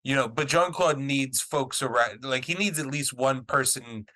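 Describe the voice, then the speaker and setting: Bright-voiced man chatting about movies. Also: medium pitch. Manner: laughs often, halting with fillers. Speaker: podcast co-host. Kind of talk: casual movie discussion.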